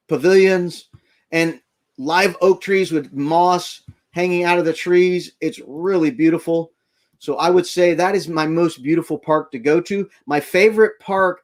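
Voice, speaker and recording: A man from Florida hosting a casual, rambling live stream from inside his RV camper, chatting with viewers and thanking them. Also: a little high. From Florida, United States. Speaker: livestream host. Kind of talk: casual rambling livestream chat.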